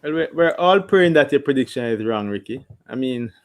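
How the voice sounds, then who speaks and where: A man's voice: mid-range voice, normal speed. A man hosting a football watch-along stream, asking his panel questions and reading viewer comments, often restarting his words. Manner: animated, stammering restarts, reads viewer comments. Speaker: host. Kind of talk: casual watch-along chat.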